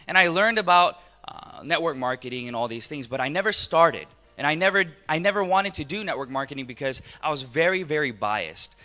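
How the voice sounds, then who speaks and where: Bright-voiced American man giving a motivational talk. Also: a little high. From Los Angeles, United States. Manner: animated storyteller, rhetorical questions to the audience, acts out dialogue. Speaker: business speaker, network marketing entrepreneur. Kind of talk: motivational business talk to an audience.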